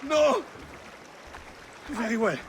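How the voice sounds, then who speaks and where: An actor playing a haughty but nervous colonial messenger in a video game. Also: male voice, very high voice, expressive, drawn-out words, exaggerated voice. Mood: frightened, evasive. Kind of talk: dramatic game cutscene acting. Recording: noisy game ambience behind.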